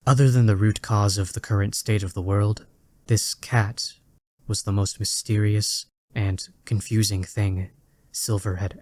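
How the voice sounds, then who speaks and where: Low-voiced man narrating a dramatic story. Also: a little mellow.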